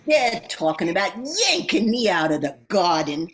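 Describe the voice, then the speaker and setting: A woman's voice: lowish voice, big pitch swings, normal speed. A woman playing a grumbling, slangy old sprig of Christmas holly in a radio play, wisecracking and drawling.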